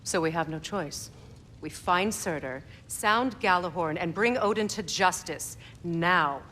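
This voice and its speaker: A woman's voice: fairly low pitch, a little slow, bright, forceful tone, a little hiss or hum. A woman playing a fierce, decisive warrior goddess in a video game, blunt, dry and commanding. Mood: determined. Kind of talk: video game character acting.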